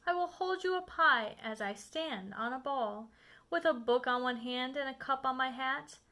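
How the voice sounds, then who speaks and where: Woman playing a high, bright, showy storybook cat. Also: moderate pace. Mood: reassuring, boastful. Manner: showy, exclamatory, coaxing. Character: playful, boastful showman cat in a tall hat. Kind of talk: storybook read-aloud.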